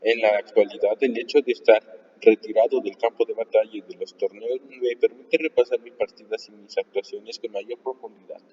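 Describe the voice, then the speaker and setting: A man reading a nonfiction book aloud in a live session, at a measured pace with the odd restart. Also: on the low side, fairly even intonation, darkish tone. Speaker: reader of a book. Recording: distant mic, long reverb, dull lo-fi sound.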